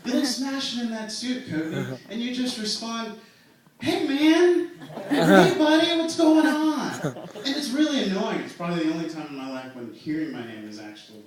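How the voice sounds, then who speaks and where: A young man in his twenties doing a stand-up routine in a comedy club, telling self-mocking stories in a slow, dry way. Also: very high-pitched, expressive, a crowd in the background. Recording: echoey club room miked from a distance.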